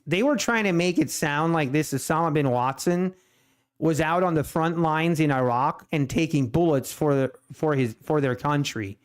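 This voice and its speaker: A man's voice: on the high side, clean tone. A man from Ohio with a Swiss German accent, chatting casually on a day trading live stream and ranting with plenty of restarts and repeats. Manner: rambling, repeats himself, exasperated ranting.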